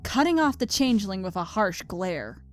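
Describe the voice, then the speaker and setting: Woman narrator reading a story, middle pitched voice, faint music behind her. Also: big pitch swings, moderate pace. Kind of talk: scripted storybook narration of fan fiction.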